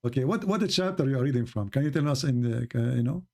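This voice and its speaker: Gravelly, impatient live-stream host, man. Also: mid-range voice, expressive, fast, mellow. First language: Arabic. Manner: impatient, mocking, interrupting. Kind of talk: heated live-stream debate.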